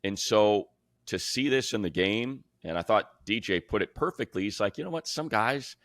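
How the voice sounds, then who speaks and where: A man's voice: lowish voice, lively intonation, moderate pace, a bit bright, no room sound, clean studio sound. A man co-hosting a sports podcast, breaking down football in casual, colloquial talk.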